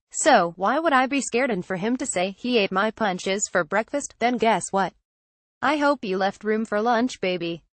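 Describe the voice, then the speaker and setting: A woman's voice: medium pitch, dry recording. A woman's synthetic text-to-speech voice reading a written transcript aloud as a narrator.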